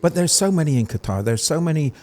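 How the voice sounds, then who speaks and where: A man's voice: fairly high pitch, expressive, fast talker, a little mellow. A man hosting a podcast interview, talking in an emphatic, encouraging way. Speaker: podcast host. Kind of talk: conversational interview.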